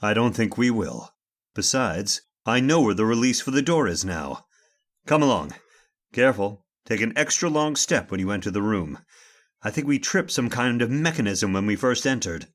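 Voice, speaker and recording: A man playing an urgent, commanding gentleman master in an old-time radio horror drama. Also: on the low side, expressive, normal speed, close to an everyday voice. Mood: determined. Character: urgent, commanding gentleman trapped with his servant.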